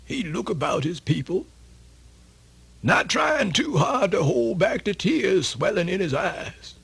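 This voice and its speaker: A man telling a folktale for radio theatre in a folksy, exclamatory, drawn-out storytelling manner. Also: sits high, very animated, creaky, a bit bright, breathy edge. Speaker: storyteller narrator. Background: music.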